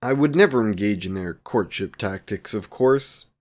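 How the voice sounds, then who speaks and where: A man's voice: fairly low pitch, lively intonation, normal speed, lightly acted. A man playing a courtly, devoted, youthful vampire boyfriend in a romantic audio roleplay, with archaic, formal wording and tender endearments. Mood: flustered, indignant. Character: courtly, devoted 128-year-old male vampire boyfriend, youthful, doting.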